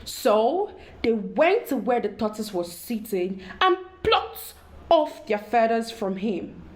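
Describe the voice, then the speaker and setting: A woman reading a story aloud to children in an expressive storytelling style, addressing young listeners directly. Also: fairly high pitch, slow.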